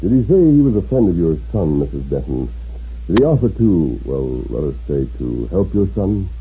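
A man's voice: sits low, very animated, normal speed. A man playing a commanding masked western hero in a 1950s radio drama. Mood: probing, calm. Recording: muffled old radio recording, faint noise.

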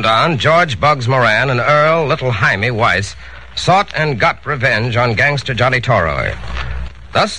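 A man's voice: medium pitch, sharp tone, a little hiss or hum, poor audio. A man delivering dramatic scripted narration for a 1940s radio crime drama. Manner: dramatic, declamatory.

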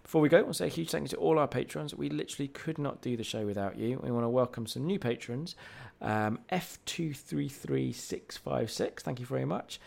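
Upbeat gravelly podcast host. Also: male voice, mid-range voice, a little mellow. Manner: upbeat, reads plugs and thanks quickly. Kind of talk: casual outro chat and sign-off.

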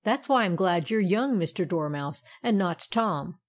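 A woman's voice: fairly low pitch, expressive, a little slow. A woman voicing a cheerful, smug male fireplace poker in a children's storybook, chatty and boastful, breaking into sing-song verse. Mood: jovial, chatty.

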